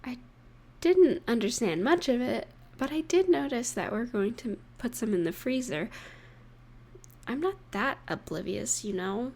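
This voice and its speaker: Slow-talking, higher-voiced affectionate girlfriend played by a woman. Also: animated. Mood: teasing, fond. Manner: gentle, teasing, unhurried with pauses. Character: affectionate, playful woman baking holiday cookies with partner. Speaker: audio roleplay voice actor. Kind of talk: intimate roleplay audio addressed to the listener.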